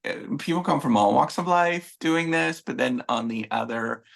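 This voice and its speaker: A man's voice: medium pitch, moderate pace, vocal fry, a bit bright. A man hosting an interview, asking questions in a loose, rambling, hesitant way. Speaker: host. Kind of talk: casual interview chat.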